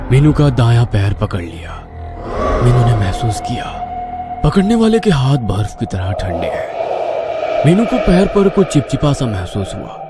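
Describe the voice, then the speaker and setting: Male narrator, low voice, very animated intonation, dramatic horror storytelling, music clearly behind. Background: clear background music.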